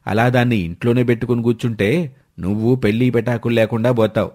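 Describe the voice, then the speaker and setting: A man voicing a blunt, overbearing older uncle who scolds colloquially in an animated village story. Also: medium pitch, fast talker, close to an everyday voice. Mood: scolding, pushy.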